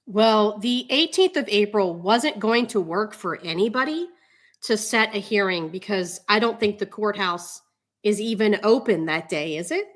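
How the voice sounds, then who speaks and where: A woman playing a measured, skeptical judge in a dramatic reading of a court hearing, speaking deliberately with hesitant pauses. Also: medium pitch, animated, natural voice.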